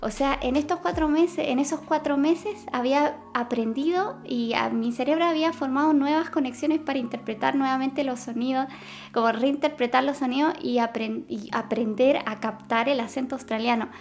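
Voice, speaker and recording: Chilean girl vlogging about the brain, kinda high voice, lots of ums, light music underneath. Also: moderate pace, a little mellow. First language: Spanish. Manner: chatty, many fillers and self-corrections. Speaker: science vlogger. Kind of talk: casual explanatory vlog.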